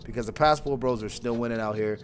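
A young man talks into a wired earphone mic in a small room at home, casual and mocking, tossing in "bro" again and again. His voice sits in a middle pitch. Faint music plays under him. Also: fast. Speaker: reaction channel host. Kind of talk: casual reaction commentary.

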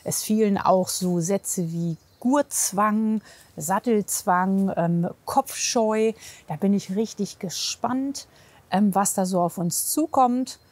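A woman's voice: mid-range voice, takes it slowly, a little mellow. A woman talking casually and informally as a chatty host, chatting to the camera and with clients. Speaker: horse physiotherapist, host.

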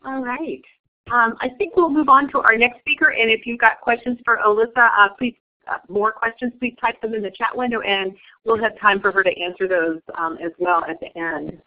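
A woman's voice: on the high side, fairly even intonation, moderate pace. Woman hosting an online webinar, sounds like a phone call, lots of ums. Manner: friendly, introduces speakers, many ums. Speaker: webinar moderator. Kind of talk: webinar moderating. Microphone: phone or web call. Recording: tinny phone-line audio.

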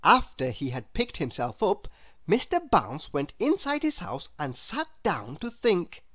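A woman narrates a children's storybook with great expression. Her voice sits a little low and swings widely in pitch. She reads slowly and deliberately. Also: sing-song, a bit bright. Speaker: storybook reader.

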